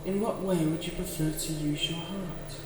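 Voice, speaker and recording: A man voicing a possessive, seductive demon who speaks in measured, formal, condescending phrases in an ASMR roleplay. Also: on the high side, fairly even intonation, noisy background, close to an everyday voice. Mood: condescending, probing. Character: possessive, seductive male demon hungry for hearts. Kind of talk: scripted ASMR roleplay addressed to the listener. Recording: very echoey, distant, music behind.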